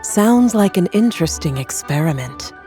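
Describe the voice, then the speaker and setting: Actress as a clever game witch; low, cool, intrigued. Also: lively intonation, moderate pace, warm tone, soft background music, natural voice.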